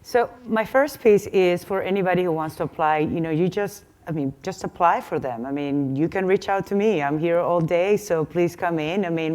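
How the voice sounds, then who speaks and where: A woman's voice: on the low side, darkish tone. A woman on a conference panel, a tech manager who came as an international student, giving direct, encouraging advice. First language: not English. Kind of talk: panel discussion.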